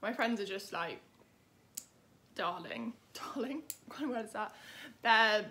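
A woman's voice: mid-range voice, animated, a little slow, bright, forceful tone, slight background noise. A young British woman chatting casually to camera as a vlogger, informal and self-deprecating. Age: young adult. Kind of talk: casual vlog chat to camera.

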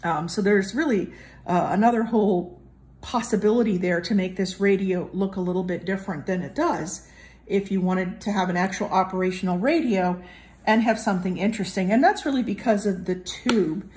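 A woman's voice: medium pitch, normal speed, a little mellow. A woman with a mid-range voice talks through a hands-on demonstration. She explains casually as a hobbyist would, feeling her way through sentences and often rewording them. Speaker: hobbyist reviewer presenting an electronics kit. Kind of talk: casual hands-on product walkthrough.

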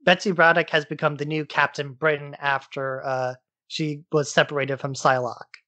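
A man's voice: on the high side, little pitch movement, normal speed, sharp tone, no echo. A man hosting a podcast, giving a casual, informal recap in loose everyday language. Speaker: podcast host. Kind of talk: casual comic-book recap.

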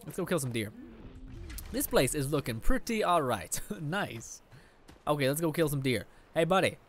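An eighteen-year-old man giving rambling, casual let's play commentary, his voice a little raspy after eating. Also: a little high, very animated, normal speed, a little hiss or hum. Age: teens. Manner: rambling, chatty, lots of fillers. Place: indoor room.

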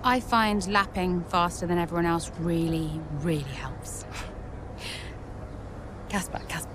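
An actress as a young woman racing driver from a video game cutscene. She is cocky and dry-witted, teasing in a deadpan way, with a lowish voice. There is clear background noise around her. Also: a little slow, lightly acted. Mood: playful, teasing. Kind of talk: video game cutscene press interview acting.

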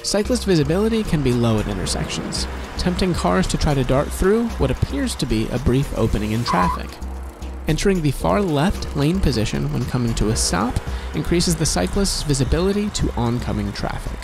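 A man reading a scripted instructional safety narration in an even, instructive manner. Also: on the high side, fairly quick, creaky, over music. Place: voice-over over animation with background music.

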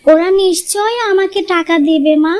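A woman voicing a gentle, earnest six-year-old village girl who pleads sweetly in a cartoon moral tale. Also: extremely high pitch, fairly even intonation, normal speed. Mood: pleading, hopeful.

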